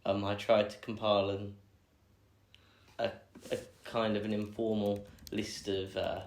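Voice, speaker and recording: A young man, answering interview questions in a thoughtful, measured way with many pauses and fillers. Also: on the low side, little pitch movement, a little hiss or hum. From England. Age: young adult. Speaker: guest. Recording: echoey room.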